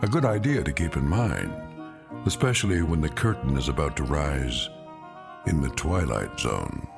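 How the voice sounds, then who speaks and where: An ominous, knowing male host of an eerie radio anthology. His voice is very deep and creaky, and it swings expressively through his dramatic introduction. Music plays clearly behind him. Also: big pitch swings, moderate pace, heavily acted. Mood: ominous, measured.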